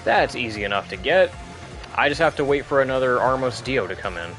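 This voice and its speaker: A man's voice: mid-range voice, lively intonation, a little fast, fairly bright tone, faint music behind. A man giving casual, chatty commentary while playing a video game, rambling and often restarting his sentences. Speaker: gameplay commentator. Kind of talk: casual let's play commentary.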